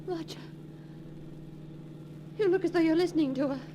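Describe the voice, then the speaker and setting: A woman's voice: very high-pitched, moderate pace, background noise. An actress playing an anxious young woman in a 1940s radio melodrama, on an old recording. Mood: terrified, pleading.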